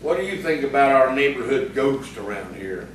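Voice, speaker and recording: An actor playing a gruff, sarcastic frontier bully in an audio theatre piece, taunting and bossy. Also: male voice, medium pitch, animated, moderate pace, natural voice. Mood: needling. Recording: distant, echoey, noisy stage recording.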